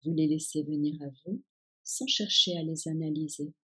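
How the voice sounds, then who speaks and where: A woman guiding a yoga nidra relaxation with calm, soothing instructions in a soft-edged, breathy voice. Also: low-pitched, moderate pace, rough recording. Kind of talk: guided meditation, relaxation instructions.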